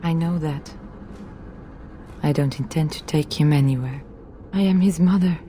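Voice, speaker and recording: A woman playing a desperate, grieving noblewoman and mother in a video game, pleading in a halting, emotional way. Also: high voice, animated, slight background noise. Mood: resigned grief. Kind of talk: dramatic video game voice acting.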